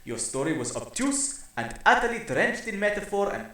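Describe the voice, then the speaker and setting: A man's voice: high voice, sing-song, moderate pace, sharp tone, heavily acted. A man voicing a pompous old male villain with a put-on German accent, haughty and scornful. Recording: echoey roomy recording.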